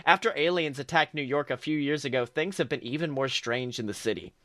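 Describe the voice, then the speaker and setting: A man's voice: a little high, a little fast, fairly bright tone, dry recording. A man, a podcast host, reading fan fiction aloud as second-person narration, now and then stumbling over phrases.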